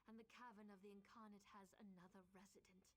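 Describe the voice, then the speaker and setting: An actress playing a determined, earnest young Dark Elf woman in a fantasy video game. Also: mid-range voice, flat delivery, fast talker, lightly acted. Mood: sombre. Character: determined young Dunmer woman, grieving ally in a fantasy quest. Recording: noisy game audio with ambience.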